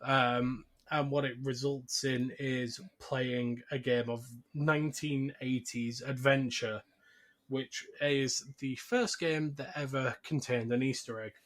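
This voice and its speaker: A man's voice: medium pitch, fairly even intonation, slow pace, fairly bright tone. Man casually recapping a film. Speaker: podcast co-host.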